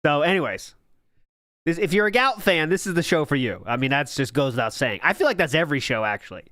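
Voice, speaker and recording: A man's voice: on the high side, expressive, fast, pushed and bright, dry recording, clean studio sound. A man hosting a podcast, giving sarcastic, mocking commentary on old posts and live chat and laughing often. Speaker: podcast host.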